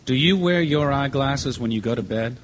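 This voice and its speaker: An actor playing a reasonable, persistent juror in a radio drama, measured and probing, with a husky voice. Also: male voice, mid-range voice, natural voice. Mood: measured, persuasive. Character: reasonable, persistent male juror arguing for doubt. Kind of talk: stage-style radio drama acting.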